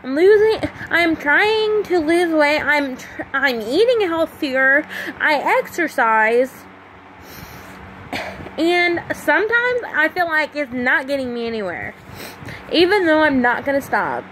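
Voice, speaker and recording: High-voiced young woman, slow and emotional. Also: sing-song, a bit bright, rough recording. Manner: emotional, halting, with many pauses and fillers. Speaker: vlogger. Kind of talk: confessional personal vlog. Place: small room at home at night, fan running. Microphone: phone held close to the face. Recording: faint fan hum in a home room. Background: faint fan hum.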